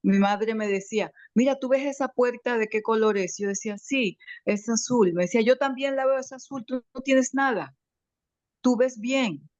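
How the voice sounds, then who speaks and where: A woman with a slight foreign accent in her Spanish, giving an emotional, grateful personal testimony on a video call, halting with many restarts. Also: fairly high pitch, a little mellow, no echo. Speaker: webinar participant. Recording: dry, muffled video call audio.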